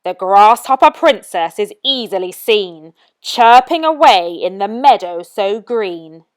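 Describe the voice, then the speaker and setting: Wicked wizard villain for a children's storybook, played by a woman: loud, menacing, slow drawn-out taunts. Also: mid-range voice, expressive, clean tone, no echo. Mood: triumphant chanting.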